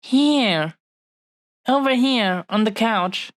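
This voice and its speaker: A woman playing an affectionate, possessive dragon-blooded fiancée in an intimate romantic audio roleplay, speaking tenderly and haltingly to the listener. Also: on the high side, lively intonation. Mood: flustered, playful. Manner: tender, halting, lingering over short phrases.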